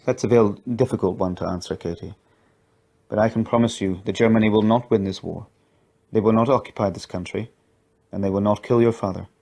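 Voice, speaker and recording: A man voicing a gentle, mysterious stranger who speaks softly and reassuringly. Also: lowish voice, fairly even intonation, brisk, vocal fry. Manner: soft, kind, reassuring.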